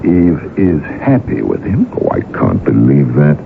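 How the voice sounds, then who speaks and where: Deep-voiced, jealous portrait painter. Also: male voice, wildly swooping pitch, measured pace, vocal fry, phone-quality sound, heavily acted. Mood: bitter, indignant. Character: proud, jealous portrait painter, lovelorn and indignant. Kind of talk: 1980s radio drama acting. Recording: noisy band-limited old radio broadcast recording.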